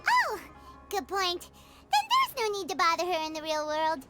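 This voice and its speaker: Squeaky, swooping tiny fairy companion. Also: female voice, huge pitch swings, moderate pace, bright, forceful tone, heavily acted. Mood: cheerful. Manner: refers to self in third person, exclamatory. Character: tiny chirpy childlike female fairy companion, cheerful and talkative. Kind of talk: video game voice acting. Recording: background music.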